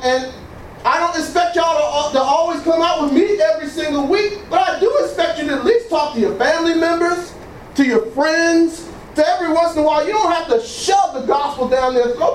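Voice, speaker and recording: Booming high-voiced pastor in an echoey hall. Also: male voice, expressive, a little fast. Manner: exclaims praise phrases, leads the congregation in repetition. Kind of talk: sermon with call-and-response. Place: church hall. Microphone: mic switched off, picked up from a distance. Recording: echoey room from far off, faint noise.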